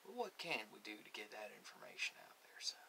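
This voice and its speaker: A man playing a stern, folksy army sergeant in an ASMR interrogation roleplay, questioning a prisoner in halting, repetitive colloquial phrases. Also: fairly low pitch, very animated, gravelly, bright, forceful tone, exaggerated voice. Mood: grudging, probing. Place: small cluttered room, indoors. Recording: hissy home recording.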